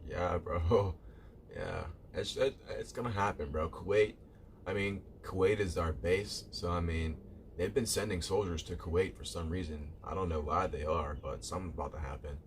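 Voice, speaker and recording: A man's voice: low-pitched, normal speed, slight background noise. A man live streaming, chatting casually with viewers in a rambling way, repeating himself and calling them "bro".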